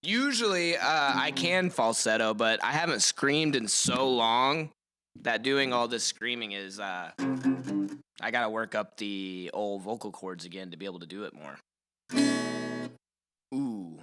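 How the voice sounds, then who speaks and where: A man's voice: lowish voice, a little slow. A man streaming live and chatting casually, in a laid-back, slangy way, while he plays guitar. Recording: guitar playing behind the voice. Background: acoustic guitar.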